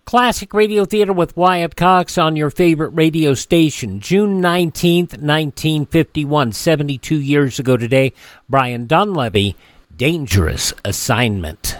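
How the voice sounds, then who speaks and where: A man hosting a radio show, announcing informally in a measured way with pauses between items. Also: a little high, expressive, dry recording, clean studio sound.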